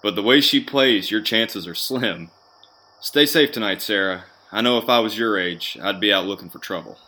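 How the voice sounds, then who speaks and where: A man's voice: lowish voice, moderate pace, bright, forceful tone. A man acting a friendly, cautious suburban father and neighbor in an audio drama.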